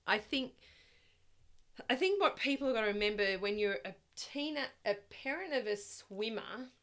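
A middle-aged woman with a loud voice that projects, chatting as a guest in a casual interview, rambling and laughing often. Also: on the high side, animated, normal speed. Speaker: guest, parent of the host.

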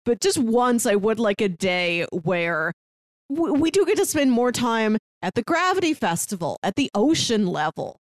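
A woman's voice: fairly high pitch, very animated, normal speed, dry recording, studio quality. A woman co-hosting a podcast in a casual discussion, articulate and given to acting out quotes to make her points. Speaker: podcast co-host. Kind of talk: casual book discussion chat.

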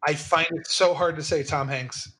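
A man with a bright, fairly high voice chats about a film, quick and hedging, often laughing at his own points. His tone leans forceful and crisp. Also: steady tone. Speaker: podcast co-host. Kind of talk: casual film discussion.

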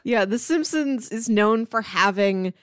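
A woman's voice: a little high, expressive, a little slow, clear, resonant tone, no room sound, studio quality. A woman chatting casually as a podcast co-host, explaining things in an articulate, enthusiastic way. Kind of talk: casual chat about sitcoms.

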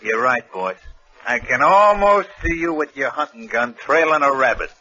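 A loyal henchman in a forties radio crime play. His voice is husky yet sharp, slangy and uneasy, with lively swings. It comes through a dull old recording. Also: mid-range voice, moderate pace. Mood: eager, flattering. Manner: plain-spoken, slangy. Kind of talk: 1940s American radio drama acting.

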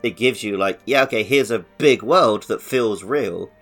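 A man's voice: on the low side, moderate pace, a bit bright, soft background music. A man hosting a podcast, talking casually about video games in a conversational way. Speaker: podcast host. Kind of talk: casual gaming podcast chat.

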